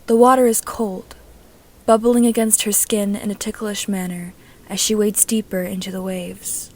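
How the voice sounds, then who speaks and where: Female amateur narrator for audio fiction, mid-pitched, reading scripted prose. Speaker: amateur podfic narrator reading own story.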